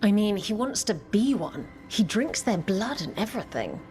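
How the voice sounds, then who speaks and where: A woman's voice: mid-range voice, very animated, moderate pace, darkish tone, natural voice. Woman playing a confident adventurer, faint music behind. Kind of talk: video game cutscene acting.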